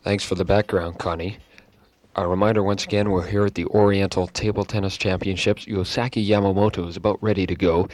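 A man's voice: low-pitched, no echo. A man hosting a mock sports broadcast in a comedy radio sketch. He uses a formal announcer tone and keeps tripping over and correcting his words.

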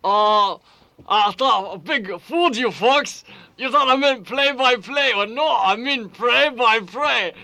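A squeaky, gravelly bumbling correspondent. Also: male voice, bright, forceful tone, exaggerated voice. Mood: goofy. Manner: halting, full of "uh" fillers, corny puns. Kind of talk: comedy radio sketch.

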